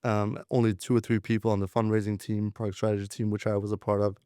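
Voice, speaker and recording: A man in his twenties from Denmark, raised in southern France, telling his career story in a relaxed interview, with lots of fillers and restarts. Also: lowish voice, fast talker, clean tone, dry recording, clean studio sound. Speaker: guest, venture capitalist.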